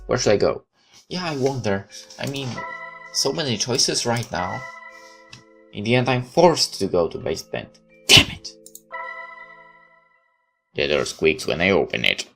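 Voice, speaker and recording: Male gamer, deep low voice, dramatic story read-aloud, wry asides, occasional stumbles, guitar game music behind. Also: fairly bright tone. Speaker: gamer reading a visual novel aloud. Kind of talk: let's play read-aloud with joking asides.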